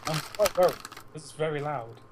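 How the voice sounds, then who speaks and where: A man's voice: on the high side, brisk, noisy background. A British man co-hosting a podcast in casual, teasing, sweary banter with a friend. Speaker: podcast co-host.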